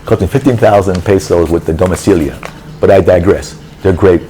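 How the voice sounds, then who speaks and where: A low, mellow male voice tells a frustrated story in a loose, conversational way, jumping into quoted lines of dialogue as he goes. There is a faint hum of background noise around him. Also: brisk. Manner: exasperated, animated retelling with quoted dialogue. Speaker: travel vlogger. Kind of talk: casual storytelling rant. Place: apartment building in a city.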